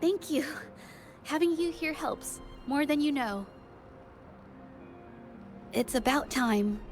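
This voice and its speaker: A woman's voice: high voice, lively intonation, takes it slowly. An actress voicing a shy young female painter in a game, earnest and stammering, with faint music underneath. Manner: stammering, hesitant. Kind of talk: game voice acting.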